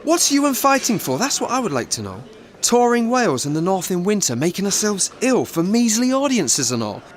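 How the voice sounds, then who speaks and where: An actor playing an earnest, insistent theatre business manager in an audio drama. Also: male voice, high-pitched, big pitch swings, a little fast. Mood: heated. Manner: insistent, persuasive.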